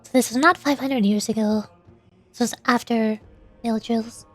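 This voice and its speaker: High-voiced woman streamer over background music. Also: fairly even intonation, normal speed. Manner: giggly, easily puzzled, self-deprecating. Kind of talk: casual game livestream chat and reading lore aloud.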